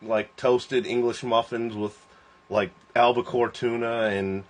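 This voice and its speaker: A man in his forties chats loosely on a phone livestream. He rambles, laughs often, and his pitch jumps high and drops low from line to line. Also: steady tone, unhurried. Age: 40s. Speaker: host of a livestream. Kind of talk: casual joking chat.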